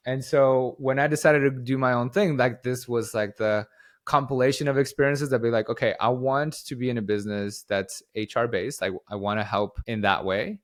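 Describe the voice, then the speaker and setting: A man's voice: mid-range voice, steady tone, moderate pace. A young man from Guatemala, the chief executive of a company, giving long, rambling interview answers with lots of fillers and restarts. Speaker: company CEO, podcast guest.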